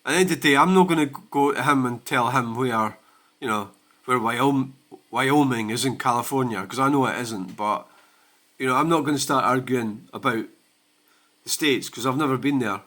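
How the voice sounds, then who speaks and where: A man's voice: mid-range voice, normal speed, bright, forceful tone. A Scottish man hosting his own channel, talking in a blunt, sweary and rambling way in a casual rant. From Scotland. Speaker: channel host.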